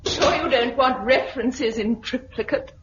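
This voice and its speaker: Muffled old radio with light hiss, wry young British woman, fairly high voice, plucky. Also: unhurried. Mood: teasing. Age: 20s. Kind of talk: 1950s British radio drama acting. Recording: muffled, hissy 1950s radio recording.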